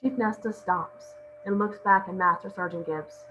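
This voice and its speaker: A woman's voice: medium pitch, normal speed, a bit bright. A woman reading stage directions aloud as scripted narration at a table read. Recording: muffled, noisy lo-fi recording.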